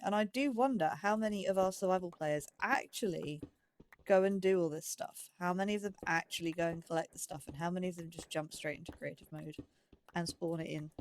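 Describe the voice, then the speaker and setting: A woman's voice: on the low side, a little hiss or hum. A woman giving casual let's play commentary, chatting and musing aloud in a self-deprecating way. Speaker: gaming YouTuber, host of a let's play series.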